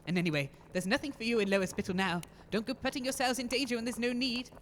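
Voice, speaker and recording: Woman acting a brisk, long-suffering wife. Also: on the high side, a little hiss or hum, natural voice. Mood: polite, exasperated. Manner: gently exasperated, polite, brisk. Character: kindly, long-suffering, sensible wife and hostess. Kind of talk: audio comedy drama acting.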